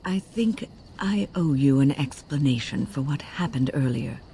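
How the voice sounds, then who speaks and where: A woman's voice: very deep voice, lively intonation, warm tone, slight background noise, full character voice. An older actress playing a wise, composed mage woman, reflective and formal, in a video game. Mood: grave, reflective. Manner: measured, reflective, formal storytelling. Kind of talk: dramatic video game dialogue.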